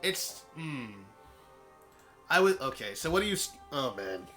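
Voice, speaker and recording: A man hosts a casual group voice call, rambling along and correcting himself mid-sentence. His voice rises and falls in a lively way over a voice chat mic, with music clearly heard behind him. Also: on the high side, a little slow. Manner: rambling, with fillers and self-corrections. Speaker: stream host. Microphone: voice chat microphone.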